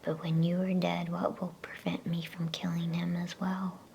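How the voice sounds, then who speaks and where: A woman voicing a cruel, gloating witch queen from a storybook in a breathy, menacing whisper. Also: on the low side, level tone, normal speed, a little mellow. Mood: cold gloating. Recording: faint background noise.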